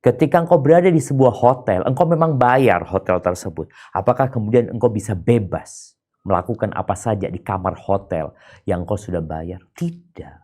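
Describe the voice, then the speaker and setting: A man preaching a sermon in a lively way, dropping to hushed whispers and then rising to loud exclamations. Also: on the low side, normal speed. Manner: animated, swings from hushed whispers to raised exclamations.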